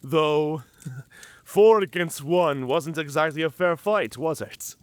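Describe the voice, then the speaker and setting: Slow-talking, sardonic male werewolf. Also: fairly high pitch, clean tone, no room sound. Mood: amused, teasing. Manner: theatrical, sardonic, bantering. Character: wounded, wry, talkative male werewolf in human form. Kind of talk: cinematic audio roleplay acting.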